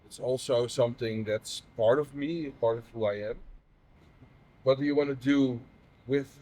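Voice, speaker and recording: Man, 30s, from the Netherlands, halting, open, personal conversation. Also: medium pitch, fairly even intonation, mellow, rough recording. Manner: halting, many fillers and restarts. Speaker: patient advocate interviewer.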